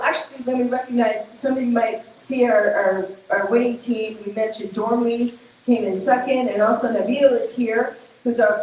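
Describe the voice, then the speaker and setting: A woman with a Spanish accent addresses a crowd in a big event space, her voice a touch high. She talks informally and haltingly, with fillers and quick self-corrections. The sound bounces heavily around the hall, with a faint noise floor beneath. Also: darkish tone. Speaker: program director presenting awards. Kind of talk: live event announcements.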